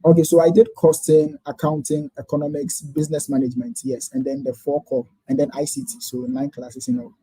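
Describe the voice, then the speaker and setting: Fast-talking Ghanaian man in his twenties. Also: fairly high pitch, little pitch movement, dark tone, slightly rough, no room sound, low-quality recording. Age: 20s. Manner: quick, eager, explaining step by step. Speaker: guest. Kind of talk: interview answers.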